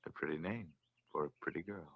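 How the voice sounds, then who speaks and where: Husky, insinuating strangler. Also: male voice, deep voice, brisk, muffled recording. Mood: quietly menacing. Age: middle-aged. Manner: insinuating, coaxing. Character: smooth, menacing forty-ish male strangler posing as friendly insurance man. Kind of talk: 1940s-50s radio thriller acting.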